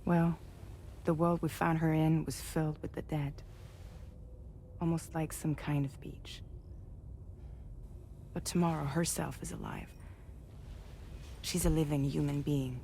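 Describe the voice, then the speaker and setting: Tough lady commander, really low mellow voice, makes the call. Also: steady tone, measured pace, pauses often, slight background noise. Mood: firm. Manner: firm, decisive. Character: firm female commander taking charge. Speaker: commanding officer.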